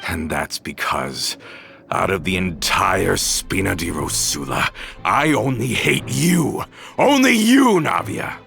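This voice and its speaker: An actor playing a bitter, vengeful male villain who accuses and rises to shouts, in a game cutscene. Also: deep voice, very animated, takes it slowly, creaky, heavily acted. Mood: cold menace. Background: music.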